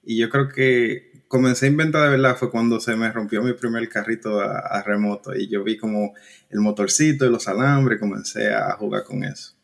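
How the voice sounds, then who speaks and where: Laid-back Dominican man, lowish voice. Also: vocal fry. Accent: Dominican Spanish. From Dominican Republic. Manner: relaxed, halting, frequent restarts and fillers. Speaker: guest engineer. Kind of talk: casual conversational interview.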